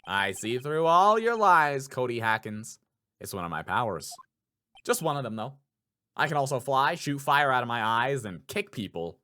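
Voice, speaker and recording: A man's voice: mid-range voice, sing-song, normal speed, pushed and bright, voice pushed down. A man voicing an earnest defense lawyer from a video game, declaiming dramatically and accusingly. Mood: smug boasting.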